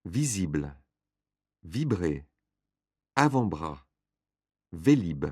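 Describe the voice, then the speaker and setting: A man reading French textbook drill answers with measured, careful enunciation, recorded close in a studio. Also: deep voice, sing-song, darkish tone, slightly rough, no room sound. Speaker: textbook audio reader.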